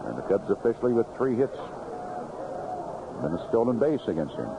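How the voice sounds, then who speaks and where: A noisy, dull old radio broadcast carries an American man calling baseball. His pitch swings in a lively way as he narrates each play in clipped, unhurried phrases, in the manner of a 1970s play-by-play announcer. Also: creaky. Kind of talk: live radio sports commentary, 1970s. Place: outdoor ballpark broadcast booth.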